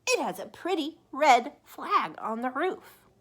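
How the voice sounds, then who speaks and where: A woman voicing a cheerful, agreeable male bird in a children's storybook, with a sing-song, drawn-out delivery. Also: high-pitched, sing-song, a bit bright, pitched above the performer's own voice, heavily acted. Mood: pleased. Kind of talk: children's storybook read-aloud.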